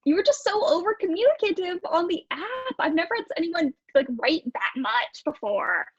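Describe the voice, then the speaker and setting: A woman's voice: very high-pitched, big pitch swings, normal speed, muffled recording. A woman playing a polite, awkward hipster guest in improvised comedy, tentative and full of filler words. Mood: politely hesitant. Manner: tentative, filler-heavy, trendy youthful phrasing.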